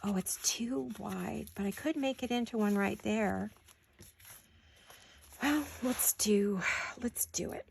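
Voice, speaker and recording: Woman with a sore throat rambling thru her craft project, bit of vocal fry, faint hiss. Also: mid-range voice, slow pace, long pauses, a little mellow. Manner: chatty, thinking aloud to viewers. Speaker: craft vlogger. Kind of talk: casual crafting chat. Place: home craft room. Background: scissors and crafting clicks.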